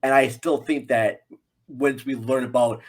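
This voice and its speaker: A man's voice: medium pitch, fairly even intonation, unhurried. Rambling, jokey man chatting about film. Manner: rambling, jokey, self-deprecating. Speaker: podcast guest, film reviewer. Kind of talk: casual film discussion.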